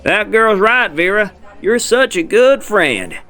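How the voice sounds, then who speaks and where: A man performs a scheming male villain from a fan-dubbed comic. He takes his time, sliding up and down in pitch as he flatters, smooth and deceitful. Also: slow pace, heavily acted. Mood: smug approval. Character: sly, flattering male villain, shapeshifting master of deception. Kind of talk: fan-dub comic voice acting.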